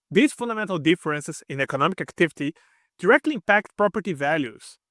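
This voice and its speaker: A man's voice: a little high, a bit bright, no room sound, clean studio sound. A man hosting a video channel, narrating a prepared script in slightly non-native English, earnest and explanatory, talking straight to his viewers and repeating key advice for emphasis. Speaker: expat channel host.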